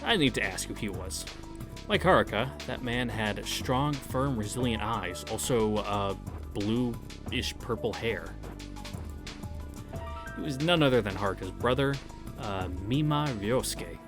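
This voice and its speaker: A man's voice: medium pitch, a little slow, music in the background. A man reading a visual novel aloud as a streamer, casually, with irreverent and crude wisecracks. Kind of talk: casual read-through with wisecracking commentary.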